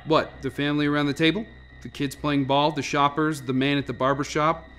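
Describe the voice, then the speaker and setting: A man's voice: a little high, little pitch movement, fairly quick, fairly bright tone, soft background music, close to an everyday voice. A man playing a dry, cautious scientist in charge, in a radio drama. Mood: tense, probing.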